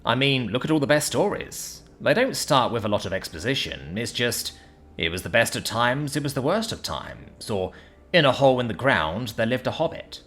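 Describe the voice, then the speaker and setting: Introverted former Air Force man, earnest and self-doubting, as a man reads him. He sounds close to the narrator's own voice, with widely varied pitch. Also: big pitch swings, moderate pace, fairly bright tone. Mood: animated.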